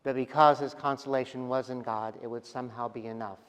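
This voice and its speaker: A man preaching a sermon as pastor, speaking gently and reflectively with pauses and soft trailing endings. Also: medium pitch, fairly even intonation, clean tone. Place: large church sanctuary. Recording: long church reverb.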